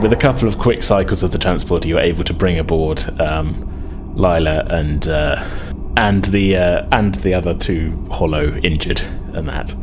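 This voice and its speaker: Male game master, low register, hesitant off-the-cuff storytelling for a tabletop game, clear music bed. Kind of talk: improvised narration of a tabletop roleplaying game. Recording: music behind the voice.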